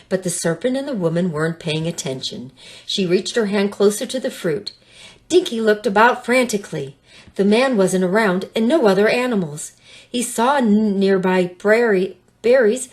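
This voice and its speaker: A woman reading a children's story aloud in expressive narration. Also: mid-range voice. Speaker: storybook reader.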